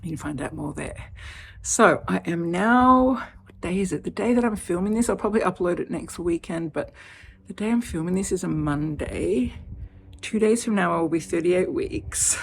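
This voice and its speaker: A heavily pregnant woman in her fifties talking off the cuff in a personal vlog, chatty and animated, laughing often. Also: fairly low pitch, normal speed, vocal fry, a little hiss or hum. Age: 50s. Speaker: vlogger.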